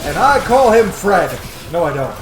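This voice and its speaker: A man in his thirties giving casual, sweary let's-play commentary in an animated way. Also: sits high, normal speed. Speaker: gaming streamer. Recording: roomy, game music behind. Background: game music.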